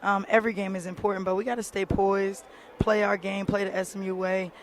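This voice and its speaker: A woman's voice: mid-range voice, little pitch movement, brisk, noisy background. A woman coaching college basketball, giving a matter-of-fact, analytical postgame radio interview off the cuff.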